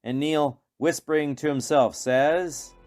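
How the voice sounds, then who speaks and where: A man's voice: a little high, fairly even intonation, takes it slowly, darkish tone. Man narrating a radio play over music. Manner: dramatic storytelling.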